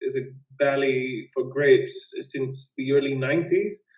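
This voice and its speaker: A man's voice: mid-range voice, measured pace. Dutch guy in his 40s, easygoing winemaker, chuckles a lot, sounds far off in a roomy space. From Netherlands. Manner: relaxed, chuckles between phrases. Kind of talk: interview answers. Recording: roomy sound from away from the mic.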